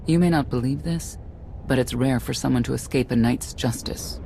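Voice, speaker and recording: A woman playing a weary, guarded middle-aged mother turned stern knight in a video game, speaking in a measured, solemn way with a creaky voice. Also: very deep voice, warm tone, slight background noise. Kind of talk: dramatic video game dialogue.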